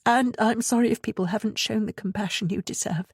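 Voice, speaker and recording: A woman voicing an anxious, guilt-ridden mother in an audiobook, husky and carefully polite. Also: medium pitch, fairly quick, no room sound, clean studio sound, voice pushed up. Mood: guilty, apologetic. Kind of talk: audiobook character dialogue.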